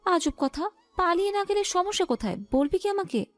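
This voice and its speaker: A woman's voice: very high-pitched, animated, a little fast, no echo. A woman playing a cheeky, whiny young best friend in an audio story, given to playful, exaggerated complaining. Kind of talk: Bengali audio story acting. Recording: music behind the voice. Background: music.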